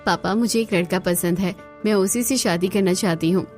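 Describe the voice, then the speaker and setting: A woman's voice: mid-range voice, moderate pace, soft background music, lightly acted. A woman voicing a kind, earnest young woman, the daughter of a rich company boss.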